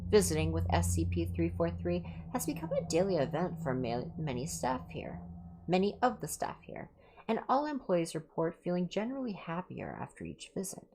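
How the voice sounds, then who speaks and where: A woman livestream host reading horror fiction aloud like a clinical report, in a measured, deliberate way. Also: lowish voice, sing-song, gravelly, background noise. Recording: background music under the voice. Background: music.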